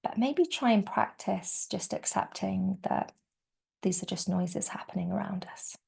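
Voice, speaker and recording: A woman leading a guided yoga nidra meditation in a soft, soothing, unhurried way, often trailing off into a breathy near-whisper. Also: medium pitch. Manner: soft, soothing, unhurried delivery with gentle pauses.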